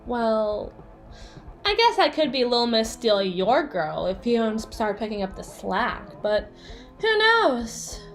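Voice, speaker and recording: Woman voicing a flirty jealous yandere bestie for asmr roleplay, high voice that swings all over, sassy n teasing. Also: big pitch swings, faint music behind, exaggerated voice. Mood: sly, taunting. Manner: teasing, sassy, theatrical, drawn-out emphasis. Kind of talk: ASMR roleplay monologue addressed to the listener.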